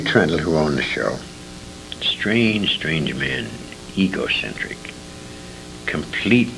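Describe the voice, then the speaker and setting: A man's voice: rumbling low, creaky, fairly bright tone. An older man, a former radio actor, reminiscing as an interview guest in a rambling, self-correcting way on a noisy amateur recording.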